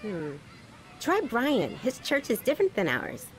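A woman's voice: a little high, huge pitch swings, unhurried, very noisy. A woman playing a casual, uninterested young schoolgirl in an audio drama, talking in an offhand way.

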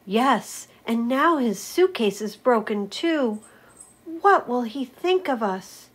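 A woman's voice: a little high, lively intonation, slow, breathy edge, pitched above the performer's own voice, full character voice. A woman voicing a kind, fair-minded small animal in a storybook, speaking with worried, pleading concern. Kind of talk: storybook read-aloud character voice.

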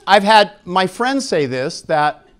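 Male conference speaker, middle-aged, slightly high-pitched, frank conversational delivery with a joking streak, on handheld mic. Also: sing-song, takes it slowly, a bit bright, smooth. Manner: jokey, candid, conversational. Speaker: conference speaker on sexual health. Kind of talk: live lecture to an audience, frank and informal. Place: indoor conference room with seated audience. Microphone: handheld microphone.

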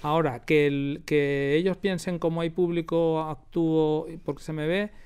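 A man's voice: fairly high pitch, flat delivery, moderate pace, a little mellow, clean tone. A man with a Castilian Spanish accent, explaining and telling anecdotes in an interview, emphatic and repeating phrases for stress. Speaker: radio drama producer, interview guest. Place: radio drama studio.

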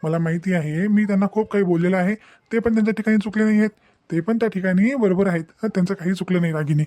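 A protective young husband, acted by a man, talking quickly in a high but mellow voice. He reasons and gently scolds like a lecturer in a cartoon melodrama, checking often with short questioning hums. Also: no room sound, close to an everyday voice. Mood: calm reasoning. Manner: insistent, lecturing, peppered with questioning "hm". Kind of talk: amateur Marathi cartoon melodrama dialogue.

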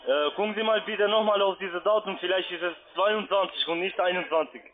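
High-pitched, halting man on phone. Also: background noise. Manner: halting, repetitive, non-native grammar. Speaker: phone scam caller. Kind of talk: phone call, pushy questioning. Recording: muffled lo-fi phone line.

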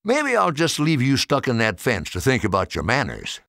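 Storybook role: elderly toy maker, male narrator acting him, teasing, kindly, hugely animated delivery, dry sound. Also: medium pitch, moderate pace, vocal fry, no room sound, exaggerated voice. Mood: sly. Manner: chuckling, playful teasing.

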